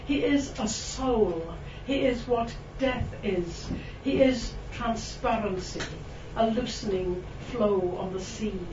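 Welsh woman, higher voice, reads poetry to a live room, chatty asides; distant mic, echoey, dull and noisy. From Wales. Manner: chatty and self-mocking, with frequent ums and laughs; slow, lingering delivery when reading verse. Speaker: poet giving a reading. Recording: distant, roomy, dull recording with background noise.

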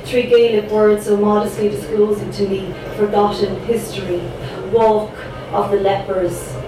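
A young woman with a Cork accent performs her poems in a rhythmic, dramatic style, with casual chatty asides between them. Her voice sits at a middle pitch. It echoes heavily through the room, picked up from a distance with noise behind it. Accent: Irish, Cork. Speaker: spoken word poet. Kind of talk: spoken word poetry performance.

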